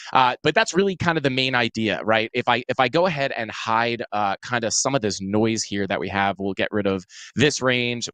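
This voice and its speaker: Man, show host, casual chart talk, lots of uh fillers, dry close sound, mid-pitched. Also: sharp tone, clean tone, no room sound. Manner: casual, filler-heavy, self-deprecating. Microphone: clip-on mic.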